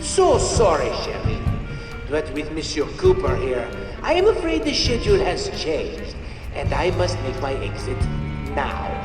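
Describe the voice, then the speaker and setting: An actor playing a smug, theatrical French skunk villain in a video game cartoon, mocking and pompous, with a French accent. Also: male voice, a little high, huge pitch swings, echoey room, long reverb tail, music in the background. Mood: mock regret. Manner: pompous, mocking, drawn-out delivery. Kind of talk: animated video game cutscene acting.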